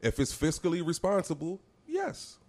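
A man's voice: fairly high pitch, very animated, normal speed, darkish tone. A man co-hosting a podcast in casual, joking banter. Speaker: podcast co-host.

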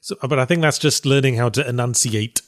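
A man's voice: brisk, no room sound. A man, co-hosting a casual chat with dry, self-deprecating humor. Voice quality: deep voice. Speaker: podcast co-host.

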